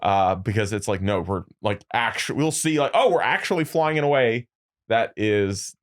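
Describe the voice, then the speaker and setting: A man co-hosting a casual podcast, pitching ideas loosely with jokes and lots of fillers. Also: lively intonation, normal speed. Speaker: podcast co-host. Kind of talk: casual studio chat.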